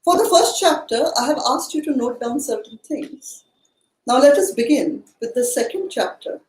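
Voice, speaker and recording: Woman teacher w high voice, deliberate n formal, kinda echoey room, spiritual study class. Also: animated, darkish tone. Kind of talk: spiritual study lesson, explaining and reading aloud from a book.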